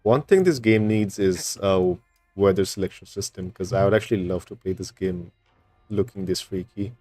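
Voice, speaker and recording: A man's voice: deep voice, moderate pace, warm tone, no echo, soft background music. A man streaming a video game, giving casual playthrough commentary as he reacts to the story.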